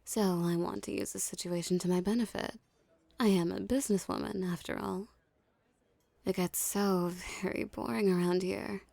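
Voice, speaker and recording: A dominant female mafia boss, played by a woman for an ASMR roleplay, speaking in a mellow, creaky voice recorded close and dry. She teases and condescends softly, with seductive, menacing confidence. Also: medium pitch, fairly even intonation, dry recording. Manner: slow, teasing, condescending drawl.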